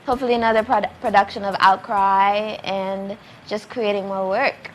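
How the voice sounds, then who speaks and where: A woman's voice: mid-range voice, fairly even intonation, normal speed, slight background noise, rough recording. A woman, a playwright and director, talking conversationally as an interview guest.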